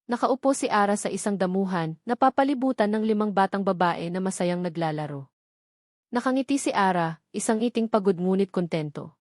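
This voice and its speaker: A woman's voice: mid-range voice, fairly quick, a little mellow, no echo. Filipino woman narrating a story, dry sound. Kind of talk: dramatic scripted story narration in Tagalog.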